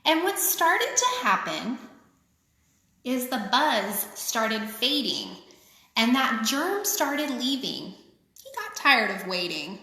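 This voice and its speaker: A woman reading a picture book aloud to children, with expressive, simple phrasing and chatty asides. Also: a little high, slow. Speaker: children's author reading her own book. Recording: echoey, reverberant room.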